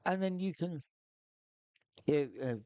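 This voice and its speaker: A man's voice: a little high, unhurried, mellow, dry recording, muffled recording. Man musing through loose solo-game advice. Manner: loose, thinking aloud with many restarts. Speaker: solo tabletop game player giving tips. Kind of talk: casual spoken advice.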